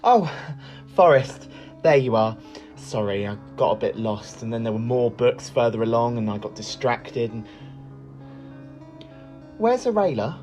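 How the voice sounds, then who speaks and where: Eager young dreamer, very lively delivery. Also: male voice, mid-range voice, measured pace, pauses often, faint music behind. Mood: flustered. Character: eager, bookish young man who believes in fairy tales. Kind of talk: audio drama acting.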